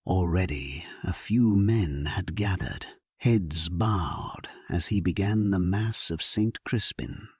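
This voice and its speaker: Sleep-story narrator: male actor as resolute, solemn king, low and breathy, unhurried, very dry close sound. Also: darkish tone, dry recording, full character voice. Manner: solemn, measured, dramatic delivery. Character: grave, resolute English king at war, narrating his memoir. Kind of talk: scripted first-person sleep-story narration.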